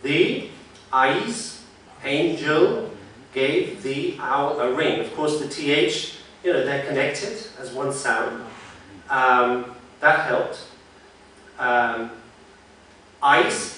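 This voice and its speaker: A man, a phonetician, giving a conversational conference talk, thinking aloud with frequent pauses. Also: medium pitch, a bit bright, a little hiss or hum. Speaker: symposium speaker, phonetician. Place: large lecture room. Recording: very echoey hall, mic far off.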